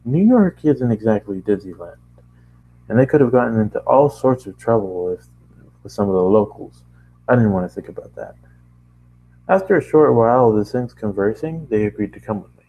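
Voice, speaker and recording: A man narrating a first-person story as an amateur reader, halting now and then to correct his phrasing. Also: on the low side, expressive, husky, narrow, tinny audio.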